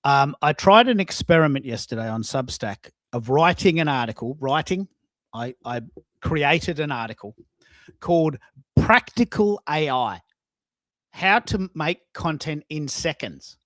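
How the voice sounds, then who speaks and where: A man's voice: a little high, animated, a little slow, fairly bright tone, dry recording. A man hosting a live online show in casual, emphatic talk, often repeating his phrases for stress.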